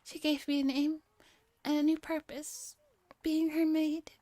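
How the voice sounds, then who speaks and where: A woman's voice: sits high, flat delivery, unhurried, mellow, no room sound, pitched above the performer's own voice. A woman voicing a clumsy, boastful young robot maid from a visual novel, making solemn, over-dramatic declarations. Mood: solemn boasting.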